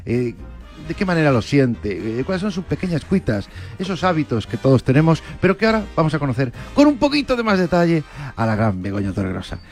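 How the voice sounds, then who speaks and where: A man's voice: fairly high pitch, sing-song, fast talker, a little mellow, soft background music. A man hosting an interview, joking and exclaiming in a playful, theatrical way. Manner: jokey, theatrical, emphatic exclamations. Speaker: podcast host.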